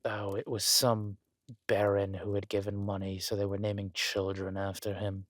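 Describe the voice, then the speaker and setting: A man playing a regal, wry, menacing villain king in a roleplay audio drama, speaking in a measured, intimate, smugly theatrical way. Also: fairly low pitch, monotone, fairly bright tone, no room sound, studio quality, close to an everyday voice.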